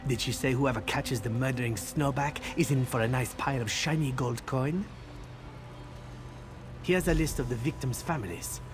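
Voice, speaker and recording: A man's voice: medium pitch, moderate pace, music in the background, natural voice. Man playing a wry, businesslike bounty-giver. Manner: teasing, businesslike. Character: wry official offering a bounty. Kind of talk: video game character acting.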